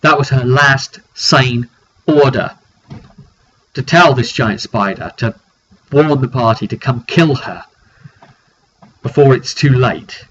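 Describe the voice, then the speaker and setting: A man's voice: medium pitch, takes it slowly, pauses often, fairly bright tone. A man informally recounting a fantasy roleplay session as game master, dramatic and repeating phrases for emphasis. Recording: dull lo-fi recording, clear background noise.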